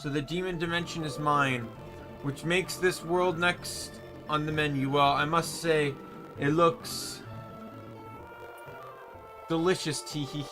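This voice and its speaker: A man's voice: a little high, fairly even intonation, slow pace, long pauses, over music. A man voicing a menacing, gluttonous female dinosaur demon in a gloating, sickly-sweet auntie manner. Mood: smug, menacing.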